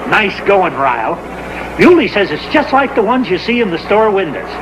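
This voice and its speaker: An actor playing a brisk, friendly American man on the telephone in a 1950s sitcom, breezy in manner. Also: high voice, very animated. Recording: muffled old soundtrack, heavy noise and babble.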